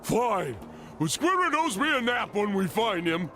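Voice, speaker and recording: A goofy, lazy cartoon starfish from a video game, pitched very high and drawling slowly. He sounds dim but blithely sure of himself, with lively swings in the delivery. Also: soft background music, full character voice. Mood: sulky, lazy. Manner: goofy, slow-witted, blithely confident.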